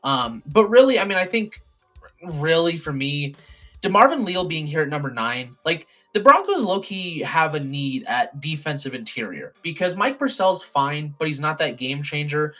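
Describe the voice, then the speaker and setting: A hoarse young man hosting a casual sports chat, rambling with lots of fillers and restarts. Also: a little high, very animated, normal speed, bright, forceful tone, soft background music. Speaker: podcast host doing a mock draft.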